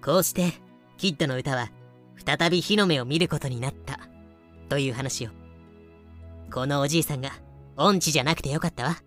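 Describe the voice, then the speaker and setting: A woman's voice: low voice, fairly quick, long pauses, a bit bright. A woman acts a knowing, plain-spoken female character in an amateur audio drama. She explains in a dry, matter-of-fact way, with faint music behind her. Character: frank, knowing woman explaining history to a sidekick.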